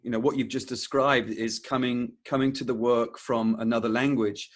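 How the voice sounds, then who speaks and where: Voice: medium pitch, fairly even intonation, normal speed. An interviewer who hosts the show, asking questions with stammering restarts and friendly prompting. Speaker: interviewer, host. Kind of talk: remote interview chat.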